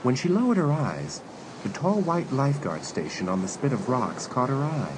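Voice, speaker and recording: A man acting as the measured storyteller of a suspense audio drama, reading scripted narration. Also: mid-range voice, very animated, noisy background, natural voice. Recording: very loud ambient sound effects behind.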